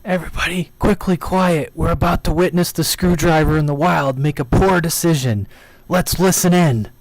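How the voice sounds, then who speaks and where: Higher-voiced man bantering on CB radio. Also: moderate pace, poor audio. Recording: CB radio with faint noise.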